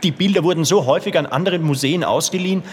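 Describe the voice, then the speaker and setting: A man's voice: a little high, very fast, slight background noise, natural voice. A man playing a mannered, patronising and hesitant museum guide giving a tour in a children's radio play. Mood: patronising, evasive. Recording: echoey gallery hall, background ambience.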